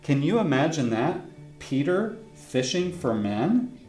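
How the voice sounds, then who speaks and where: A man's voice: fairly high pitch, very animated, takes it slowly, darkish tone. A man reading his own children's book aloud as a friendly storyteller, stopping to ask young listeners questions. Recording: roomy echo with faint guitar music.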